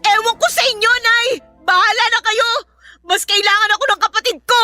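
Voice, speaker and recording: An actress with a Filipino accent playing a protective, defiant elder daughter in a radio drama, emotional and pleading. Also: female voice, very high-pitched, steady tone, a little fast, sharp tone, faint music behind, heavily acted. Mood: defiant, upset.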